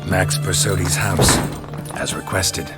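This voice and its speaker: A gruff monster hunter with an extremely deep voice speaks in short, dry, deadpan lines. A game score plays clearly underneath. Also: male voice, takes it slowly. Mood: stern. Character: gruff, weary middle-aged male witcher monster hunter. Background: game music score.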